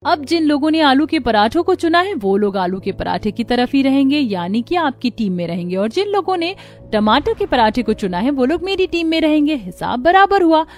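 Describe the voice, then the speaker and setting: Casting: actress as indignant young daughter-in-law for a family cartoon, fairly high voice, emphatic, music underneath. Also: female voice, sing-song, moderate pace. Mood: insistent. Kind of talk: Hindi moral-story cartoon dialogue.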